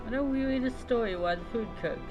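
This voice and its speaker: A woman telling a story in character, reading aloud haltingly with reminiscing asides. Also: on the high side, muffled recording, music in the background. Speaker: roleplay streamer.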